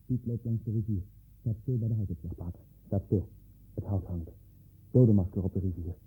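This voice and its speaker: An actor playing a tormented, paranoid man in a 1960s Dutch radio drama, husky, speaking in obsessive, repeated short phrases. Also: fairly low pitch, brisk, phone-quality sound. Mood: mounting frenzy. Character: Len, tormented, paranoid man sinking into dwarf-haunted delusions.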